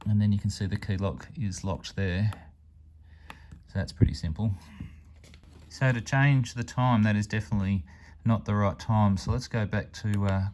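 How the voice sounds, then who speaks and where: A man's voice: lowish voice, a little mellow, a little hiss or hum. A man giving a casual, plain-spoken hands-on product demonstration as a hobbyist reviewer. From Australia.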